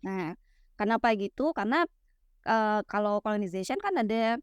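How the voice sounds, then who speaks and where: A young woman from West Sumatra explaining ideas in a relaxed, teacherly chat, full of fillers and tag questions. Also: mid-range voice, moderate pace, clear, resonant tone, dry recording. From West Sumatra, Minangkabau. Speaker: guest explaining literary theory.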